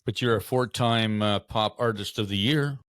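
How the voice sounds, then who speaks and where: A man co-hosting a casual interview podcast, talking quickly and often stumbling into restarts. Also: fairly low pitch, a bit bright, no room sound, studio quality. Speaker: co-host.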